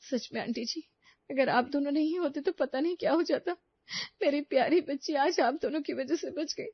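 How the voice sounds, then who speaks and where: Woman doing young mom, high voice, earnest grateful, cartoon story, dull audio. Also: normal speed, dry recording. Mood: grateful, emotional. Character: grateful, emotional young mother.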